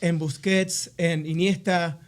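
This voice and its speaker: A man from Argentina co-hosting a casual podcast, joking and energetic as he leads the questions. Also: on the high side, measured pace. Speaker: podcast co-host. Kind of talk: casual chat. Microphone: studio podcast mic.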